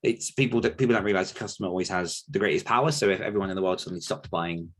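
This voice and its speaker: A man hosting a podcast discussion, speaking casually in a reflective, careful, diplomatic way. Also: deep voice. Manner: measured, diplomatic, hedging. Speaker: podcast host.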